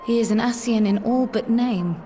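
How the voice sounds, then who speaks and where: A woman's voice: on the high side, dark tone, soft background music, close to an everyday voice. Woman as composed, thoughtful fantasy noblewoman. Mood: reflective. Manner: measured, earnest, formal. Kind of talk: video game cutscene acting.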